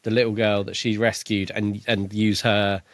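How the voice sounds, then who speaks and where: A man's voice: on the low side, little pitch movement, normal speed, dry recording. A man co-hosting a podcast, casually discussing a book in a chatty, jokey way. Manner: chatty, jokey, prone to laughing. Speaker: podcast co-host.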